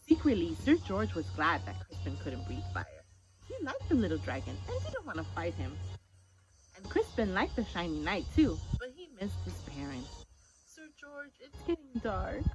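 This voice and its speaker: A woman reading a picture book aloud in an animated way, asking young listeners questions as she goes. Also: fairly low pitch, moderate pace. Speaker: children's story-time reader. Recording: dull lo-fi audio with music behind.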